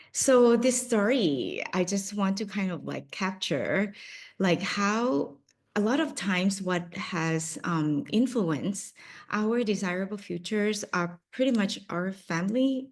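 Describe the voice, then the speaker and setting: A woman's voice: lowish voice, a little mellow. A woman from Thailand with a Thai accent leading an online workshop, speaking hesitantly with many fillers and restarts. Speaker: workshop facilitator.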